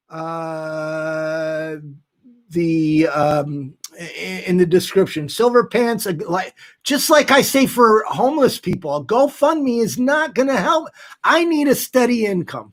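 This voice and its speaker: High-pitched, earnest man near sixty. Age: late 50s, nearly 60. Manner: earnest, emphatic, candid. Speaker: nonprofit founder hosting a livestream. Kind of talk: casual livestream talk to viewers.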